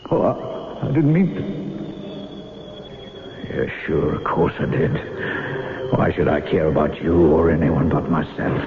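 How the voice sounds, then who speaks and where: A man's voice: rumbling low, very animated, a little slow, long pauses, noisy background, full character voice. An actor playing a resentful, scheming older brother on a farm, a murderous man, in a 1970s radio drama. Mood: panicked, callous. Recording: phone-like old radio broadcast, loud music behind.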